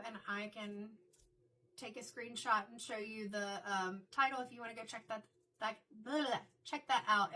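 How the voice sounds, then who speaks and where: A middle-aged woman, a card reader and medium, talking in a chatty, reflective way, her voice a little raspy from illness. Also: fairly high pitch, pushed and bright, far from the mic, low-quality recording. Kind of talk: casual spoken tarot reading intro.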